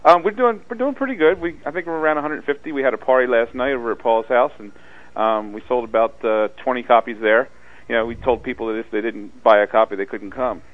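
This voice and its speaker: A man's voice: mid-range voice, fast. A man with a South Philadelphia accent chatting casually as a caller over a muffled phone line. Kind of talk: casual chat.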